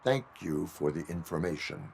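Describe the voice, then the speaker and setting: An actor playing a stern cyborg police officer in a video game, speaking in formal, stiff, by-the-book phrases. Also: male voice, deep voice, animated, moderate pace, vocal fry, a little mellow, slight background noise.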